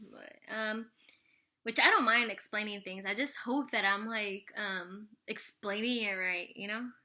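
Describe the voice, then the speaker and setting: Bright-voiced chatty woman in her thirties. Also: mid-range voice. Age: 30s. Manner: rambling, full of "like" and "you know". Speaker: vlogger answering viewer questions. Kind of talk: casual chat to camera.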